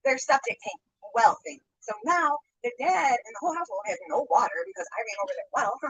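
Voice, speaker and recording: High, lively woman telling a story. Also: a little fast, creaky, dry recording, poor audio. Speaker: vlogger. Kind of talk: storytelling vlog.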